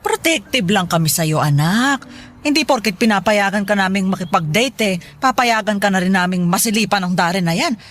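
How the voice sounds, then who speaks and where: A woman's voice: medium pitch, expressive, brisk, fairly bright tone. An actress playing a protective, teasing middle-aged Filipino mother in a radio drama, bantering in a motherly way. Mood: protective, encouraging.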